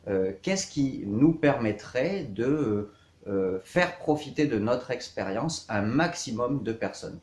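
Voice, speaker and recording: A man in his thirties from south-east France, a riding coach, introducing himself in measured, hesitant short phrases for an online course. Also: medium pitch, animated, darkish tone. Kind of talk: scripted-outline self-introduction to camera for an online course. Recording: echoey, reverberant room.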